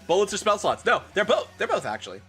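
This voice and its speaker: A man doing comedic live-stream commentary and dramatic reading in an animated, theatrical, profane manner. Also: sits high, quick pace, slightly rough, faint music behind.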